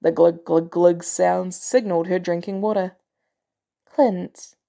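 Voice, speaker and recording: A woman's voice: fairly low pitch, fairly even intonation, normal speed, vocal fry, warm tone. A woman reading scripted fiction as an audiobook narrator. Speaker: fan fiction audiobook reader.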